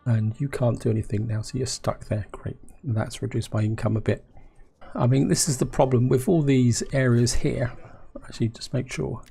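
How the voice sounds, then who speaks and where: A man with a croaky, gravelly voice from a cold talks himself through a strategy game. His tone is mellow and low-key, and his husky rasp runs under every line as he mulls each choice aloud and now and then turns to the viewers. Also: steady tone, normal speed. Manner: musing, self-questioning, talks to viewers. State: hoarse from a cold. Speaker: gameplay commentator.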